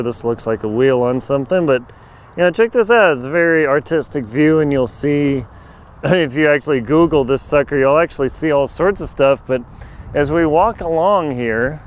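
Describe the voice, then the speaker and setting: Chatty hoarse man vlogging outdoors. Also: medium pitch. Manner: chatty, wry, jokey asides. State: a bit hoarse. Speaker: travel vlogger. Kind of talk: casual handheld travel vlog. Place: outdoors on a rural farm lot. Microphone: handheld camera mic at arm's length.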